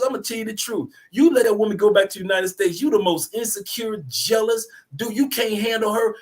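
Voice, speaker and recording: Emphatic preachy man in his fifties. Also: high voice. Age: 50s. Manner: preachy, emphatic, repeats phrases. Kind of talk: passionate call-in discussion.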